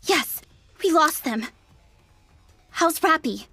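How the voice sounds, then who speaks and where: A woman's voice: high-pitched, animated, slow pace, pushed and bright, dry recording, soft background music, heavily acted. A woman voicing a feisty, irritable young female android soldier in an anime game, brash and exasperated. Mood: urgent, forceful.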